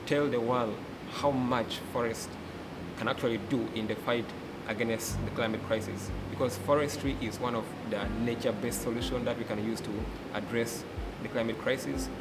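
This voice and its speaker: A young man from Uganda with a Ugandan accent, speaking earnestly as a forest educator and delegate, often pausing with "uh". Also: mid-range voice, fairly even intonation. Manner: earnest, many "uh" pauses. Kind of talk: interview testimony. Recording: loud background hum.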